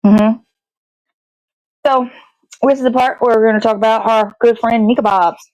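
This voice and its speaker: A woman's voice: a little high, steady tone, moderate pace, a little mellow, dry recording, poor audio. A woman hosting a casual puppet show livestream, chatting in an informal, rambling way.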